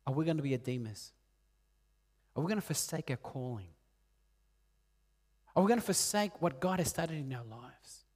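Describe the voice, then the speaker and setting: A man in his late forties from Peru, preaching a sermon in an earnest way, rising loud on key points and dropping soft and low. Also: animated, moderate pace, pauses often. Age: 40s. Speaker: pastor.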